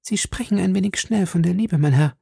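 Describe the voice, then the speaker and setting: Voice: mid-range voice, fairly quick, gravelly, warm tone, breathy edge, no echo, clean studio sound, voice pushed up. A narrator voicing an earnest, anxious young woman with secrets, speaking formally and pleadingly in an audiobook. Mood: firm, exasperated. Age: young adult. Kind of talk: audiobook character dialogue.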